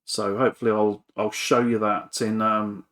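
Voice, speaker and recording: A man's voice: lowish voice, level tone, moderate pace, fairly bright tone. A man giving an informal, hesitant, rambling commentary on a repair at his workbench, with a self-effacing manner. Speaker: hobbyist electronics repairer and video presenter.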